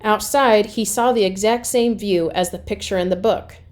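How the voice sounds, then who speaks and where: A woman reading a children's chapter book aloud as an expressive storyteller, with dramatic pauses and emphasis. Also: mid-range voice.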